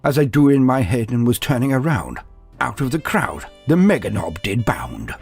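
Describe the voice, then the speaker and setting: A man's voice: medium pitch, sing-song, normal speed, gravelly, a little mellow, slightly rough, soft background music. A man delivering dramatic scripted narration of fantasy lore with a theatrical storytelling manner.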